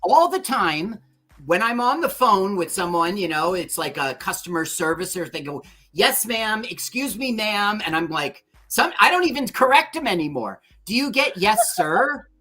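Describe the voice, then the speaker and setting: A man with a high voice that gets him called ma'am, chatting as a guest on a livestream. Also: sing-song, normal speed, sharp tone. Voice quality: high voice often taken for a woman's on the phone. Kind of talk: casual chat on a livestream.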